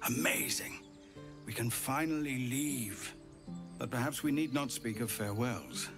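A man's voice: mid-range voice, unhurried, over music, lightly acted. A man voicing a weary, formal refugee leader. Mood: grateful. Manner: earnest, formal, grateful.

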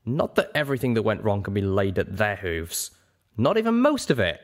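Storybook dragon, performed by a man: huge, old, male, earnest and wistful, reflective delivery with wide-swinging pitch. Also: very animated, brisk. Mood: passionate, earnest.

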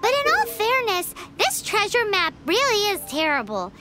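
A woman's voice: extremely high pitch, huge pitch swings, normal speed, over loud music, exaggerated voice. A woman voicing a tiny floating girl companion, chirpy and boastful, who talks about herself in the third person. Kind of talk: game dialogue.

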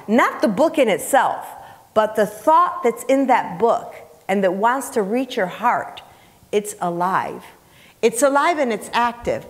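A middle-aged woman preaching a sermon in an emphatic, conversational way, repeating phrases and asking rhetorical questions. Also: a little high. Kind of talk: sermon, teaching scripture to a congregation. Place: church sanctuary, indoors, on the platform. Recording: reverberant hall, picked up in large room.

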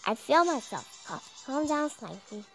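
A young woman reading a visual novel aloud, halting and stumbling over words as she narrates dramatically. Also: high-pitched, very animated, fairly bright tone, rough recording. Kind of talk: dramatic read-aloud of game text. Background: music.

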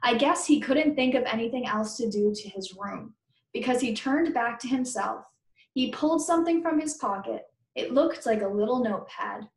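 A woman's voice: a little high, lively intonation, normal speed. A woman teacher reading a children's book aloud, stopping to question and explain things to her pupils. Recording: roomy indoor echo.

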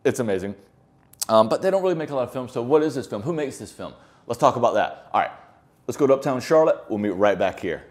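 A man's voice: medium pitch, animated, quick pace. A man in his forties talking casually to camera as a vlogger, in a loose, conversational, improvised way, recorded indoors on a lapel mic. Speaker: film photography vlogger. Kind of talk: casual talk to camera, reviewing. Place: indoor living-room style office, seated on a sofa.

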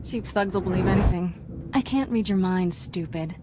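An actress playing a confident young Jedi apprentice in a video game, with a wry, flippant manner. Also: female voice, fairly low pitch, moderate pace, background noise, close to an everyday voice. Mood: smug. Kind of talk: video game character acting.

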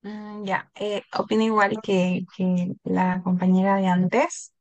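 A woman's voice: medium pitch, level tone, slow, darkish tone, dry recording. A young woman casually giving her opinion in an online group discussion. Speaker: focus group participant. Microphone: video call.

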